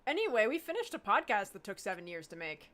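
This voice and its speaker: A woman's voice: medium pitch, big pitch swings, quick pace, fairly bright tone. A woman hosting a casual livestream chat with fellow cast members. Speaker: show host running the livestream.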